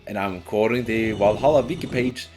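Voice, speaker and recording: A man's voice: fairly low pitch, normal speed, darkish tone, soft background music. A man narrating a casual scripted video game review in an informal, sweary way.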